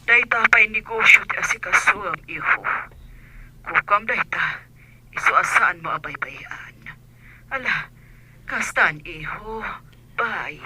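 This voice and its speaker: Very bright, thin telephone sound with faint noise carries the voice of an ailing older mother , acted by a woman. She is plaintive and pleading, in the manner of a radio drama. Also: mid-range voice, expressive, slow pace, long pauses, full character voice, low-quality recording. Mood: gentle, resigned. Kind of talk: Ilocano radio drama acting.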